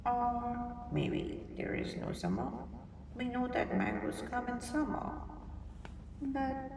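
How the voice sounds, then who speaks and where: A woman reading a picture book aloud to young children, expressively, pausing to think aloud and ask them simple questions. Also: high voice. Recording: roomy, distant mic, clear background noise.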